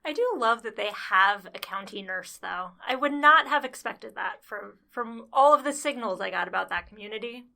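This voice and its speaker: A woman guest on a podcast chatting casually. Her voice is fairly high and bright with a gravelly fry, and she makes short, dry, understated remarks. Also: expressive, moderate pace, hardly any pauses.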